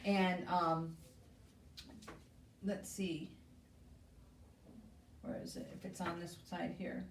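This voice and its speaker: A woman's voice: lowish voice, level tone, slow. A woman working as a children's librarian, reading and explaining to small children with simple questions at story time. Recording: echoey room, distant mic, faint noise.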